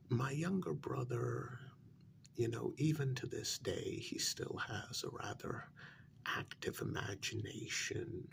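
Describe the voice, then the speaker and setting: A man playing a polite, hesitant working man placating his boss in a soft, partly whispered ASMR role-play, halting and deferential. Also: lowish voice, gravelly, heavily acted. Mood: apologetic, reassuring. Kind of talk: soft-spoken ASMR role-play, one side of a conversation.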